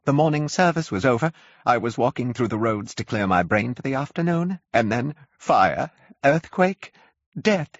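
Hysterical preacher, high wailing voice, swooping wildly, put on by a deep-voiced male reader. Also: expressive, normal speed, dry recording, highs cut off. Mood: frantic. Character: panicked male curate, frantic, half-mad with fear.

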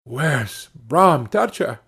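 A man's voice: a little high, very animated, very slow, a bit bright, breathy edge, exaggerated voice. A man voicing a humble, bewildered old man in a storybook, hesitant and plaintive. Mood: puzzled.

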